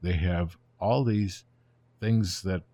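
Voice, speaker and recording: A man in his seventies whose first language is Ukrainian, speaking as an interview guest and explaining history in an unhurried, storytelling way. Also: low voice, warm tone. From born in Germany, raised in the United States. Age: 70s.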